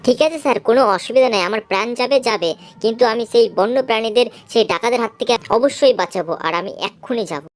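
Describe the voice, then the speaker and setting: A woman's voice: on the high side, fast, close to an everyday voice. A woman voicing a brave, vengeful young female army officer who protects others in a dramatic cartoon dub. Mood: determined.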